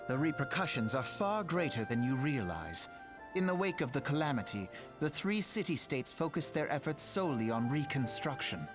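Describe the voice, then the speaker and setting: A man's voice: medium pitch, close to an everyday voice. An actor playing an earnest, self-assured young nobleman in a fantasy game, speaking in formal, archaic phrases. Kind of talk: theatrical fantasy game voice acting. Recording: music behind the voice.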